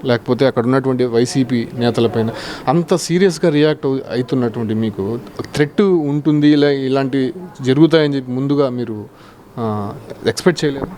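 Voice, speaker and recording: A male news interviewer with a medium-pitched voice. He puts probing questions in long, formal sentences, sometimes hesitating as he builds them. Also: a little fast, mellow.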